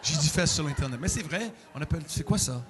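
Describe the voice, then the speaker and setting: A middle-aged man, preaching a sermon in a church with emotional, animated delivery and sudden outbursts. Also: fairly high pitch, quick pace, some chatter behind, slight background noise. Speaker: pastor.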